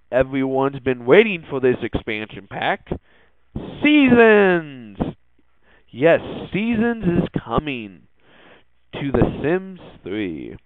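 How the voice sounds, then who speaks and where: A male podcast host gives casual commentary on tech and gaming news at an unhurried pace. His delivery is hesitant and halting, with words repeated as he goes. The voice itself is smooth, but it comes through narrow and tinny, as if over a phone. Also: mid-range voice, dry recording.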